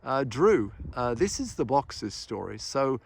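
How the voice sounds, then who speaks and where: A man chats casually as he hosts a vlog. He jokes and asks playful questions in a mid-pitched voice, with some noise from the location behind him. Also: sing-song, unhurried, vocal fry. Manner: joking, teasing. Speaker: host filming and asking questions. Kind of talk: casual unscripted vlog chat.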